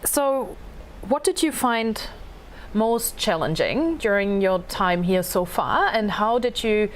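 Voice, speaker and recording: A woman's voice: medium pitch, animated, slow pace, a little hiss or hum. A woman moderating a student panel, asking questions and thanking speakers. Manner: friendly, prompting questions, brief thanks.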